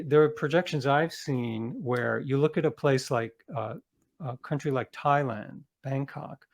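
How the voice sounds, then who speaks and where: An American man speaking as an interview guest, explaining things conversationally and earnestly, often halting and rephrasing as he goes. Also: mid-range voice.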